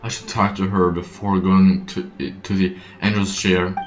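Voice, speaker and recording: A man reacting excitedly as he streams a game in a casual way. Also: low-pitched, measured pace. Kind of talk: casual gameplay commentary.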